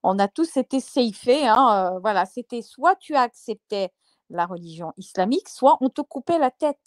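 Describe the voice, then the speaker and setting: A woman on a panel, explaining in a lively, casual way. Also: a little high, moderate pace, dry recording, studio quality. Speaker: panel speaker.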